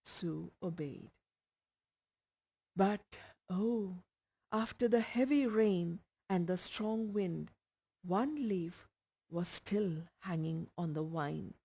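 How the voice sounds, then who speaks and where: A woman's voice: lowish voice, very slow, vocal fry, mellow, slightly rough. A woman with an Indian accent reading a short story aloud as scripted narration. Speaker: audiobook reader.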